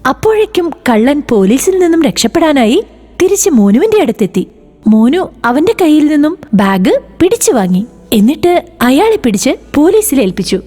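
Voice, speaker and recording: A woman narrating a children's moral story in an expressive, sing-song way. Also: high-pitched, moderate pace.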